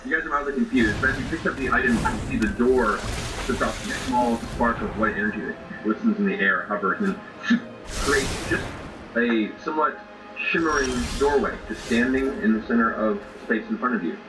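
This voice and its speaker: A man acting as game master, improvising descriptive narration for a tabletop game with halting filler words. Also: medium pitch. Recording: roomy, distant mics, music behind.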